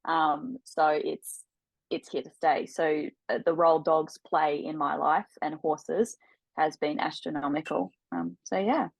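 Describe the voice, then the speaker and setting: A young Australian woman in her twenties, speaking as a podcast guest in a chatty way with frequent ums and repeated words. Her voice is notably low for a woman, and the sound is dry and close. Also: steady tone, unhurried, vocal fry, husky, dry recording, rough recording. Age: 20s. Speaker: guest, aspiring life coach. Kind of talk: casual interview talk.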